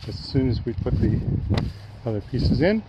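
A man's voice: medium pitch, moderate pace. An older man casually narrating a walkthrough of his homestead project, outdoors, talking into a handheld camera with plenty of ums and uhs. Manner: relaxed, hesitant with many fillers. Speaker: homesteading vlogger. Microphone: handheld camera at arm's length. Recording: dull lo-fi outdoor sound with clear wind noise.

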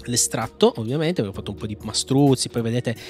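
A man's voice: medium pitch, brisk, darkish tone, soft background music. A man giving a casual screencast tutorial, thinking aloud in a jokey, rambling, self-mocking way. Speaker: tech YouTuber presenting a software tutorial.

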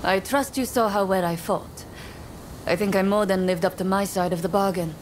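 An actress playing a proud, eager young warrior in a video game, self-assured and formal. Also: female voice, medium pitch, steady tone, normal speed, slight background noise, lightly acted, poor audio. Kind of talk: video game cutscene acting.

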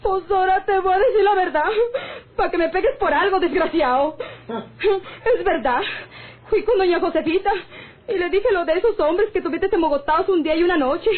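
Casting: a defiant ranch wife, rural northern Mexican accent, extremely high voice, tinny vintage radio serial. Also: female voice, normal speed. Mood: tearful, indignant. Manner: plaintive, rustic folksy speech. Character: defiant, aggrieved rural peasant wife, sharp-tongued. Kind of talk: old Mexican radio drama acting. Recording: tinny, band-limited old radio serial.

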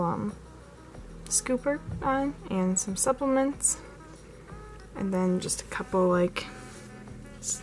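A chatty woman at a middling pitch talks through a casual tour, filling her speech with "like" and "um". She films close on a handheld camera in a carpeted room indoors. Soft music runs faintly underneath. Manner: chatty, filler-heavy, frequent "like" and "um". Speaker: model horse channel host. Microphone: handheld camera filming close.

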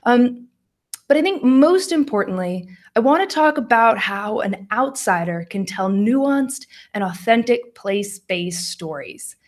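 A young American woman presenting a talk over slides, friendly, with frequent ums and small laughs. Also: mid-range voice. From United States. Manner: friendly, laughs often, fillers like um. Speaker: conference speaker.

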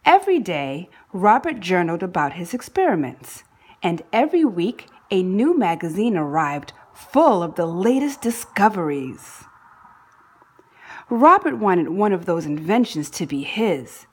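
A woman reading a children's book aloud in an expressive narrating style, phrasing deliberately and leaning on key words for drama. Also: on the low side, breathy edge. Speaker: storybook reader.

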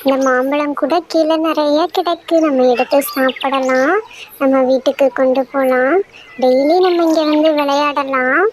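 A woman's voice: high voice, steady tone, normal speed, slight background noise, lightly acted. A woman voicing a cheerful, playful young child who organizes friends in a cartoon. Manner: eager, bossy with friends. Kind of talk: cartoon voice acting.

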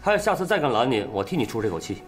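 An actor playing a blustering, wheedling, scheming military officer in a broad comic TV drama. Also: male voice, medium pitch, very animated, racing, lightly acted. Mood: placating. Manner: blustering, cajoling. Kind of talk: broad television comedy-drama acting. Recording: music behind the voice.

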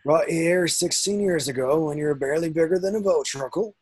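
A man playing a big, kindly, sentimental half-giant with a husky voice in a table read. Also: a little high, brisk, darkish tone. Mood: tender. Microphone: video call.

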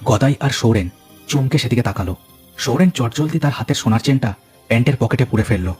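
A man's voice: medium pitch, lively intonation, a little fast, darkish tone, slightly rough, soft background music. A man telling a cartoon ghost story as narrator, with dramatic scripted delivery.

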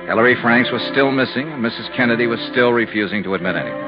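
An actor playing a cool, shrewd insurance investigator in a 1950s radio drama, terse, measured and matter-of-fact. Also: male voice, medium pitch, over music, lightly acted. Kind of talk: 1950s radio drama, hard-boiled narration and dialogue. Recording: muffled 1950s radio recording.

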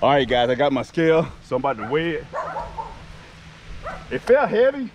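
A middle-aged man with a Southern US accent, talking casually and excitedly to his fishing vlog viewers, often repeating himself. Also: fairly high pitch, moderate pace. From Arkansas and Louisiana, United States. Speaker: fishing channel host. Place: outdoors by a neighborhood pond. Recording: noisy outdoor recording.

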